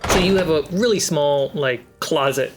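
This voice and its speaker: A woman narrating as game master in a tabletop roleplay, in a slow, measured way. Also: sits low, music in the background.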